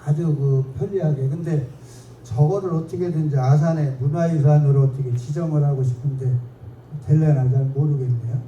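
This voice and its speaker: A man's voice: a little high, fairly even intonation, normal speed, warm tone, muffled recording. A man giving a local history lecture in a chatty, digressive, self-mocking way, pointing at slides as he goes. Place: lecture hall. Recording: echoey hall from far off, faint noise.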